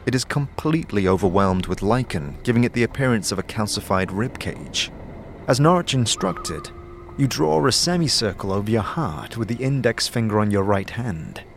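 A man narrating a scripted fantasy audio drama in a measured, dramatic storytelling manner. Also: on the low side, animated, a little hiss or hum.

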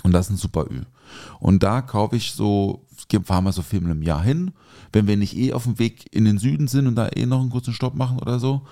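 A man's voice: lowish voice, fairly quick, vocal fry, mellow. A man from southern Germany, chatting informally and loosely as co-host of a food podcast. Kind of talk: casual podcast chat.

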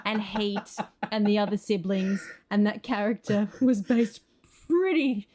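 An Australian woman speaks in a chatty, good-humoured way, at a middling pitch. She sounds like a guest on a casual podcast, telling her side of things in relaxed conversational phrases. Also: measured pace. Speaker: guest, screenwriter and actor.

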